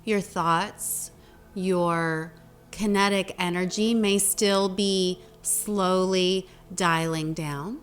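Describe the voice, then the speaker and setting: A woman leading a guided meditation as a teacher, speaking gently in short phrases with long pauses between them. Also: fairly low pitch, fairly even intonation. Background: meditation music.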